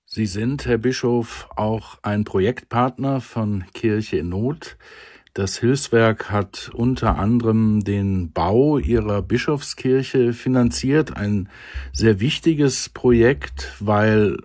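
A man hosting a German religious radio program, measured, formal and courteous. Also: on the low side, a little mellow. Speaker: radio host. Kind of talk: radio interview and presenting.

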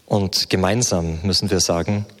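Male sermon interpreter, lowish voice, fluent with hesitation fillers, long hall reverb. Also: fairly even intonation, moderate pace.

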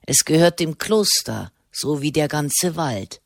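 A woman's voice: low voice, expressive, takes it slowly, gravelly, no room sound, clean studio sound, full character voice. Woman growling as a grumpy old hermit monk. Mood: scathing. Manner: growling, cutting. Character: gruff old hermit monk, scornful and sharp-tongued.

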